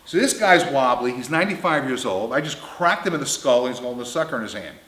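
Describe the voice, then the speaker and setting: A man in his fifties tells comic stories in a roomy, echoing space. His delivery is lively and swings around in pitch. He is blunt, crude and emphatic. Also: quick pace. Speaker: storyteller. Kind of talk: comedic anecdote storytelling. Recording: roomy, echoing indoor sound.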